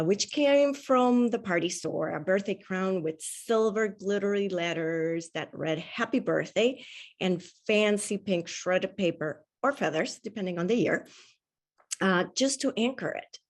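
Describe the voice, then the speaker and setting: Woman telling a story. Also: lowish voice. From Puerto Rico. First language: Spanish. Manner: warm, unhurried storytelling with frequent "uh". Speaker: guest speaker. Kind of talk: storytelling talk at a spiritual service.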